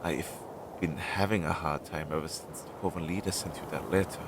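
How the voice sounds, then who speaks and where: A man playing an anxious, devoted crow familiar in an intimate audio roleplay, speaking softly and hesitantly, with pauses. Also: steady tone. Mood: downcast, anxious. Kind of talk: intimate second-person audio roleplay acting. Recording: low-quality recording with clear noise.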